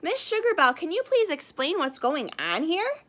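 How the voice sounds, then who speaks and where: A woman's voice: very high voice, sing-song, normal speed, a bit bright, voice pushed up, full character voice. A woman voicing a gracious, apologetic good queen in a storybook, formal and kindly. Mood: stern.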